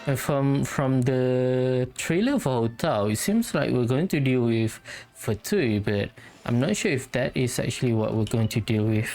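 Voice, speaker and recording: Casting: female gamer commentator, very deep voice, casual playthrough chat, faint music behind. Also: unhurried, few pauses, mellow. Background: game music.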